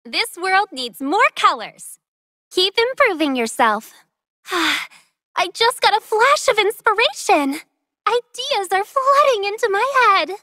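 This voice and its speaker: Very high-voiced playful young artist girl. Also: big pitch swings, slow pace, pushed and bright, dry recording, clean studio sound, full character voice. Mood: inspired. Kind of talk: video game character voice lines.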